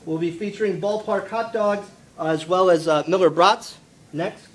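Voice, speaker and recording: A man giving an informal presentation at a live press event, speaking in a halting, jokey way. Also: high-pitched. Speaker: food and beverage presenter at a press conference. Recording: clearly noisy live event with room ambience.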